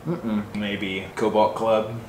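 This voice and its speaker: Low-pitched man, casual home-brew host. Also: level tone, slow, slight background noise. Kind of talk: casual scripted narration and relaxed tasting chat.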